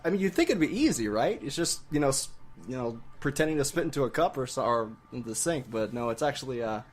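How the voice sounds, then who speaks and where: A man with a mid-range voice talks casually as a guest. He is laid-back and slangy, with a halting way of explaining things. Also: mid-range voice, big pitch swings. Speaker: voice actor guest. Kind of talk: casual chat.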